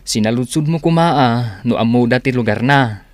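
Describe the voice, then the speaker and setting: A man's voice: medium pitch, moderate pace, natural voice. An actor playing a young man's loyal buddy in a radio drama, blunt and full of advice. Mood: advising.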